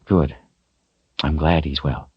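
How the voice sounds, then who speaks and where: A man's voice: rumbling low, fairly even intonation, slow pace, husky, no echo, highs cut off, heavily acted. A man voicing a troubled young hero, his lines strained and rising into anguished outbursts. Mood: concerned.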